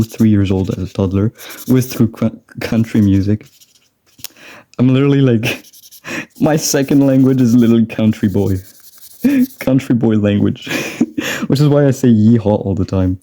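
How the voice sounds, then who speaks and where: A man's voice: medium pitch, mellow. A young man from France, chatting in a rambling way and laughing often while he draws for a vlog. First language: French. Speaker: drawing vlogger. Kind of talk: casual rambling chat while drawing.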